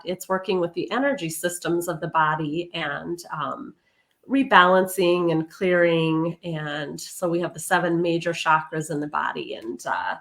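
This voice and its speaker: Woman host, lowish voice, chatty explainer, live-streamed talk show. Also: a bit bright. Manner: chatty, explains terms for viewers. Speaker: podcast host. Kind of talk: casual spiritual interview chat. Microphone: livestream video call.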